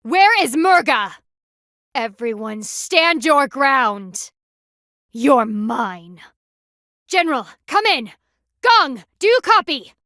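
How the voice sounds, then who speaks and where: A woman's voice: high-pitched, very animated, slow, long pauses, sharp tone, dry recording, studio quality, exaggerated voice. A woman voicing a stern, proud panda warrior in a video game, with a formal, commanding, clipped manner. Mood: urgent, outraged. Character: stern, proud anthropomorphic panda woman, loyal military guardian.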